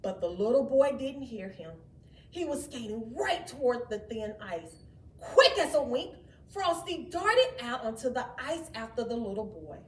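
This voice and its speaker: A woman reading a children's story aloud in an expressive, dramatic way, with asides to her young listeners. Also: fairly high pitch, measured pace. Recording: roomy, distant mic, faint background noise.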